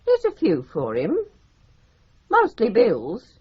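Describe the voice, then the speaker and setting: An actress playing a blunt, chatty older landlady in a radio play, with a husky voice. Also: medium pitch, wildly swooping pitch, slow, exaggerated voice. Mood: offhand. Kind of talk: radio drama acting. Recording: dull lo-fi radio recording.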